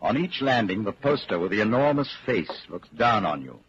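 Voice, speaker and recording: An actor playing a grave narrator of a bleak dystopian tale, with dramatic, deliberate delivery in 1940s radio style. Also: male voice, lowish voice, big pitch swings, a bit bright. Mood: grave, ominous. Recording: muffled, noisy 1940s radio broadcast.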